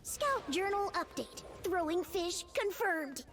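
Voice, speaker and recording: A woman's voice: very high-pitched, expressive, takes it slowly, full character voice. A woman voicing a small, cheerful, innocent furry scout in a video game, earnest, polite and childlike. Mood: cheeky. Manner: earnest, chirpy, polite, eager. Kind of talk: video game character voice lines. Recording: music bed under the voice. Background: music.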